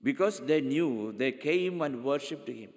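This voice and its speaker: A middle-aged man preaching a homily as a priest from a church pulpit, speaking deliberately and often repeating his phrases. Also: medium pitch, animated, darkish tone. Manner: slow, deliberate preaching with frequent repetitions. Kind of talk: sermon. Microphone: pulpit microphone, far camera. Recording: long church reverb.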